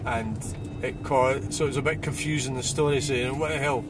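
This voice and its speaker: A man's voice: mid-range voice, fairly even intonation, fairly quick, noisy background. A man, chatting and ranting while he drives, swearing freely. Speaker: driving vlogger. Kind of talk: casual driving chatter. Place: inside a car on the road.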